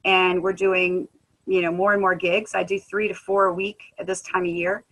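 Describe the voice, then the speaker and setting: Bright-voiced woman sharing an earnest testimony. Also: mid-range voice, rough recording. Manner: earnest, conversational, frequent um fillers. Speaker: guest speaker, musician. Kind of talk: personal testimony at a wellness presentation.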